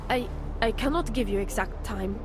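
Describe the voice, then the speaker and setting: An actress playing a nervous, guilt-ridden surveillance worker in a video game, with a Czech accent and halting broken English. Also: female voice, on the high side, noisy background, natural voice. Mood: anxious, defensive. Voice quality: broken English with dropped articles. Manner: halting, jumpy, repeating herself. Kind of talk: video game cutscene acting. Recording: music playing behind the voice.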